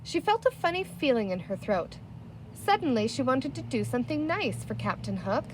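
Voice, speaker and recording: Animated woman reading a children's story. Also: high voice, normal speed, noisy background. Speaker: audiobook reader. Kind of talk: expressive children's story narration.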